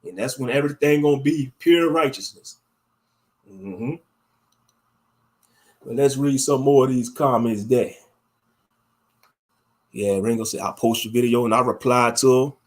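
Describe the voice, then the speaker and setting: A man's voice: mid-range voice, measured pace, pauses often. A man with a Louisiana accent talking casually as a livestream host, colloquial and given to repeating phrases for emphasis. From Louisiana, United States. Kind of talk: casual livestream commentary reading viewer comments.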